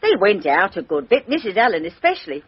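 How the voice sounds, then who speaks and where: Radio play: respectable, nosy chauffeur's wife, very animated pitch, rough-edged voice, dull recording. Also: female voice, fast. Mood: chatty, gossipy. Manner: chatty, emphatic, deferential, rambling. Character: gossipy, respectable working-class chauffeur's wife, eager and opinionated. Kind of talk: radio drama acting. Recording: dull, band-limited radio drama recording.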